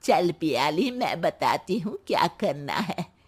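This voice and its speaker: A woman voicing a spry, sharp-tongued, very old grandmother-in-law in a cartoon, teasing and scolding her family. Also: fairly low pitch, big pitch swings, takes it slowly, breathy edge, exaggerated voice. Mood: mocking. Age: older. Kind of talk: cartoon voice acting.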